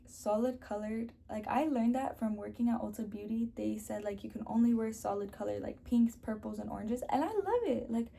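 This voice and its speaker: Chatty young woman with a gravelly voice. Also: a little high, mellow. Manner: chatty, sprinkles in lots of "like". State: sore throat, losing her voice. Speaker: beauty vlogger. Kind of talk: casual chat to camera.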